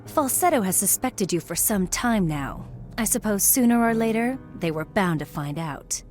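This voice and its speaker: A woman's voice: mid-range voice, lively intonation, normal speed, lightly acted. An actress playing a cold, merciless female assassin in a video game cutscene, cool and menacing. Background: music.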